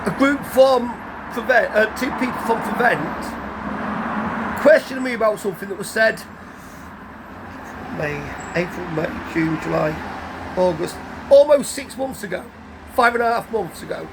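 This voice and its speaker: A man, croaky from a cold, telling a rambling, guarded story to his vlog audience, full of restarts. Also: high voice, lively intonation, unhurried, long pauses. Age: 50s. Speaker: vlogger. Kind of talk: casual story to camera. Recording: outdoor traffic noise behind.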